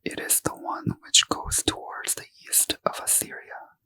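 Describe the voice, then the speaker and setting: A man reading scripture as a bedtime ASMR story in a breathy, hushed, careful whisper, close to the mic. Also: low-quality recording. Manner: slow, careful, hushed delivery. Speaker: ASMR reader.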